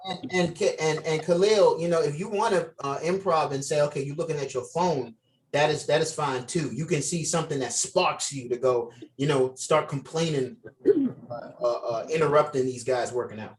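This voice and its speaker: Man, slightly high voice, director at a table read, casual, off-the-cuff delivery. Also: moderate pace, low-quality recording. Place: video call.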